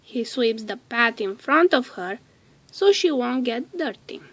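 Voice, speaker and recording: Slow, higher-voiced woman reading a storybook. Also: lively intonation. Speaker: storybook narrator. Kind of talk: read-aloud children's storybook narration.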